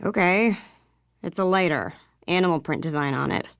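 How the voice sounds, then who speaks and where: Lower-voiced, sullen young American misfit. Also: female voice, moderate pace, gravelly, no echo, studio quality. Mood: sardonic. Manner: sullen, deadpan, defensive, casual. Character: guarded, sarcastic young woman, small-town misfit suspect. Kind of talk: scripted video game dialogue.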